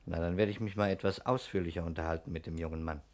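An actor playing a composed, probing fire investigator in a 1960s German radio crime drama, matter-of-fact and questioning. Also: male voice, low voice, steady tone, fast, slight background noise, close to an everyday voice. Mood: businesslike.

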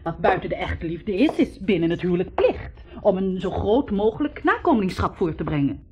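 An actress playing an unsentimental young woman student, brisk and didactic, in a 1960s radio play. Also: lowish voice, sing-song, warm tone, husky. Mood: didactic, ironic. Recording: muffled old radio recording.

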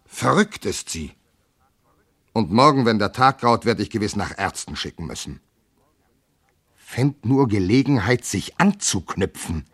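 An actor playing a bitter, hot-tempered, jealous general and wronged husband, declaiming classical verse indignantly in a radio drama. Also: male voice, on the low side, expressive, a little slow, long pauses, a bit bright, slightly rough, no echo, clean studio sound, heavily acted. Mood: bitter, brooding.

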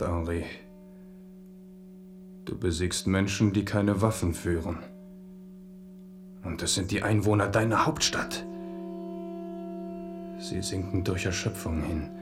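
An actor playing a proud, brooding French king in a radio drama, speaking in a measured, rhetorical way. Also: deep voice, faint music behind. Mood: grave, self-reproaching. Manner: measured, rhetorical, self-questioning. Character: King Henri of France, brooding, proud, forceful warrior. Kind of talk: German radio drama acting.

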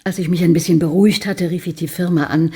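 A woman's voice: fairly low pitch, steady tone, fast talker, mellow, husky. An actress playing a composed, strong-willed female client in a detective radio play, speaking with control and insistence. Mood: controlled concern. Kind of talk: German radio play acting.

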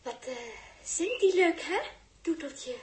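Very high-voiced, teasing young woman. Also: animated, slow, a little hiss or hum, heavily acted. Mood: playful. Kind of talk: 1960s Dutch radio drama acting. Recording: roomy early 1960s radio recording.

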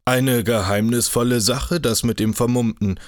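A man voicing a mocking, chatty older cavalry captain in an audiobook, loud and jovial with a sneer. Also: medium pitch, fairly even intonation, moderate pace, dry recording, studio quality, lightly acted.

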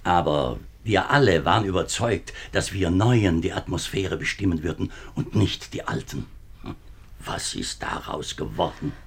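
A deep, husky portrayal of an upright minister and father under pressure. He is middle-aged and speaks in the manner of a 1950s radio play. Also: animated, measured pace, exaggerated voice. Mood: earnest, indignant. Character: embattled, upright French government minister and father. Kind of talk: 1950s German radio drama acting.

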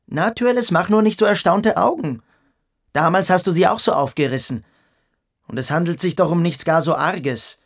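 A smug boarding-school boy in a radio drama, with a fairly high voice. He schemes with amused cynicism and mocks the others as he talks. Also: moderate pace. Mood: amused, scheming. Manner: mocking, smug. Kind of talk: radio play acting.

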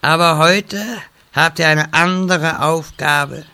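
Casting: pompous wizard mentor, slightly high gravelly voice, theatrical, comic fantasy audio play. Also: male voice, steady tone, slow, full character voice. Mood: solemn. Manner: grandiose, solemn, then shouting calls. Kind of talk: parody fantasy audio drama.

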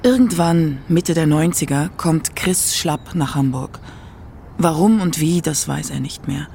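A man narrates a radio documentary from a script. His voice sits a little high and has a marked gravelly fry, with faint noise in the background. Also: a little slow, darkish tone.

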